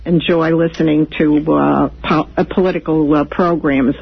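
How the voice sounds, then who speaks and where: An older woman calls in by phone, measured and earnest. Her voice is husky with a heavy creak. The call sounds dull and lo-fi. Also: low-pitched, fairly even intonation. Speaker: phone caller.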